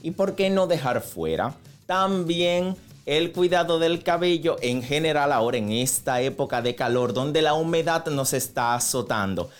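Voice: medium pitch, animated, moderate pace. Locución radial conversadora con música de fondo, sexo ambiguo. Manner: chatty, conversational asides to co-host and audience. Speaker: radio host. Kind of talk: entertainment news and sponsor reads on a morning radio show. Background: background music.

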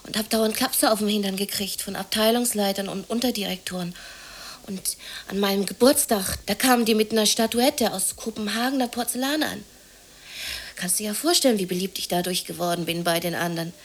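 Give the voice of a worn-down, jobless woman played by a radio drama actress. She sits at a middle pitch and speaks colloquially and plaintively, as if confiding. She is bitter and anxious underneath. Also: a little fast, close to an everyday voice. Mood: indignant, complaining. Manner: colloquial, confiding, plaintive, sometimes near whispering. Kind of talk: 1980s German radio drama acting.

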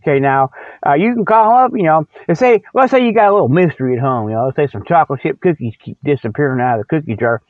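Radio host, male, middle-aged: folksy, repetitive comic rambler, somewhat high-pitched, narrow telephone-grade sound with little room. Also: expressive, fast talker, no echo. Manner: folksy, repetitive, often checks with "okay". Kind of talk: rambling comedic monologue with spoof ads. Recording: muffled, phone-like radio sound.

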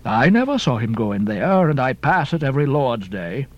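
A man voicing a stern, pious old Quaker ship captain with a hollow voice and slow, preachy, archaic speech. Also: medium pitch, big pitch swings, lo-fi sound, pitched below the performer's own voice, close to an everyday voice. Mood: scolding.

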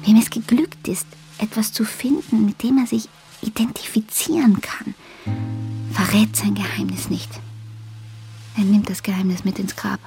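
An actress playing a detached, stubborn woman in a radio drama, speaking deliberately and matter-of-factly. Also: mid-range voice, steady tone, vocal fry, dark tone, soft background music, close to an everyday voice, rough recording. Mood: brooding, hushed. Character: detached, stubborn woman estranged after waking from a coma. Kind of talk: radio drama acting, introspective monologue and dialogue.

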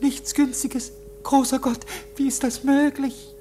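A woman's voice: high-pitched, little pitch movement, measured pace, dark tone. A woman playing an anxious, deferential supplicant in a German radio play, with a pleading tone. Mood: aghast. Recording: faint noise and hum.

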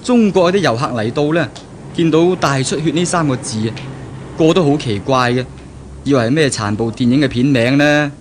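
Casting: man playing young male graduate fiancé, pragmatic and persuasive, medium pitch, 1970s radio drama with faint noise. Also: expressive, moderate pace, close to an everyday voice. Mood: light, chatty. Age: young adult. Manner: explanatory, earnest, persuasive.

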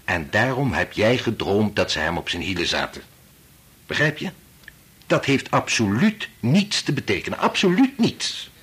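Rough-voiced, weary husband, swinging pitch. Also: male voice, very animated, moderate pace, fairly bright tone, exaggerated voice. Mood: firm, lecturing. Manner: dismissive, reasoning, sighing interjections. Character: weary, sensible doctor husband, patronising and increasingly impatient. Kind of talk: Dutch radio play acting.

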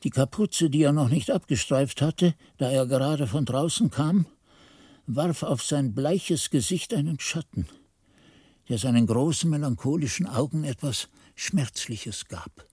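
An actor playing a monk who narrates as a devoted pupil in a radio play, in an earnest, literary, expressive manner. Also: male voice, medium pitch, a little slow, breathy edge, dry recording, clean studio sound. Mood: awed, uneasy. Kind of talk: scripted radio drama narration.

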